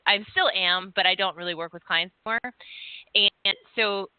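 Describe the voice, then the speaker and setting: A woman's voice: mid-range voice, moderate pace, clean tone, dry recording, dull audio. A woman hosting an interview, a former hypnotist who rambles and searches for her words with many restarts. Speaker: podcast host and former hypnotist. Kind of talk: casual interview chat.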